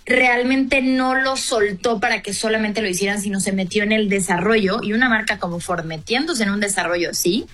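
A talkative woman chats as a car show co-host, circling her point with fillers and restarts. Her voice sits at an ordinary pitch for a woman. Soft music plays faintly behind her. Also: fairly quick, hardly any pauses. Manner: talkative, thinks aloud with frequent fillers and restarts. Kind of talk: casual conversation on a car talk show.

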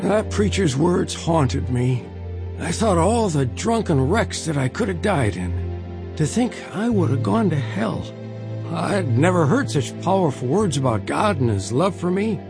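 A man's voice: on the high side, animated, a little slow, vocal fry. An actor playing a devout older father, a reformed drinker, narrating his life story in an earnest, emotive way for an audio drama. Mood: anguished, moved. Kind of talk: dramatized first-person narration. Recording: music bed under the voice.

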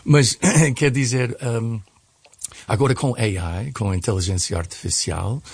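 Guy middle aged on radio panel, gravelly voice, dry close sound, hesitates a lot. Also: mid-range voice, unhurried, no room sound. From United States. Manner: hesitant, searching for words. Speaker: guest commentator on a radio programme. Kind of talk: conversational analysis in a radio discussion.

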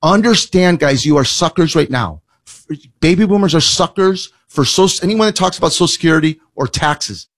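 A man's voice: on the high side, expressive, fairly bright tone. A man hosting a financial advice radio show, talking in a blunt, emphatic way and rattling off lists. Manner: emphatic, blunt, rapid listing. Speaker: financial advisor radio host.

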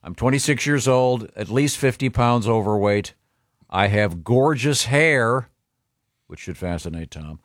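A man hosting a morning radio show, reading listener letters with halting fillers. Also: mid-range voice, animated, dry recording, clean studio sound. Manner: halting, reads with many uh fillers.

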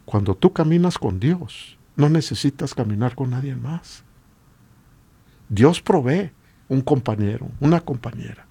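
A man with a Latin American Spanish accent and a husky voice, preaching informally and emphatically with repeated rhetorical questions. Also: medium pitch, expressive, a little slow, dark tone. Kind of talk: informal exhortation sermon.

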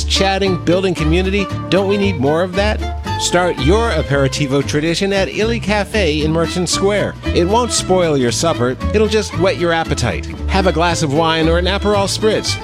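A man reading scripted radio commercials in a smooth, polished way. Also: high voice. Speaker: commercial announcer. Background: music bed.

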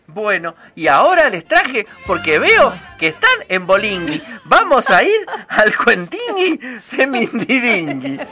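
A man's voice: high-pitched, huge pitch swings, a little slow, over music, heavily acted. A man voicing a flamboyant diva princess who tacks silly endings onto his words, in a comedic character bit. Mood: camp chatty. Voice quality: sing-song, adds "-ingui" to words. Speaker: guest character in a radio show.

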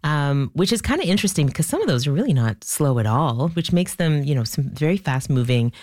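A Canadian woman hosting a live radio phone-in, talking conversationally to listeners, her voice lowered by a cold. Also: very animated, brisk, darkish tone, dry recording, studio quality. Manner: conversational, addresses listeners directly. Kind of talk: hosting a live call-in discussion.

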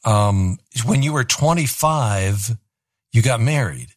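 A man's voice: mid-range voice, lively intonation, takes it slowly, no room sound. A man hosting a faith interview, conversational and prone to short preaching asides. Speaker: interview host.

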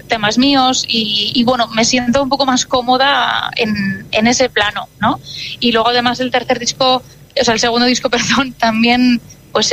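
A Basque-native woman, a jazz singer, answering interview questions in a relaxed, thoughtful way with many filler words. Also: fairly high pitch, slight background noise, low-quality recording.

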